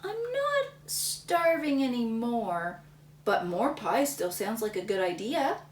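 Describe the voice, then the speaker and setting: A woman's voice: a little high, sing-song, takes it slowly, a little hiss or hum. A woman voicing a ravenous little boy who keeps begging for more food, in a pleading, whiny way. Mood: contented. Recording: roomy, slightly distant mic.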